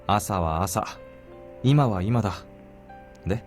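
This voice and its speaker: A man's voice: fairly low pitch, lively intonation, measured pace. An actor voicing a caring, easygoing young man, the plainspoken, informal protagonist of a visual novel. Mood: caring, doubtful. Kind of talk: Japanese visual novel voice acting. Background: game music.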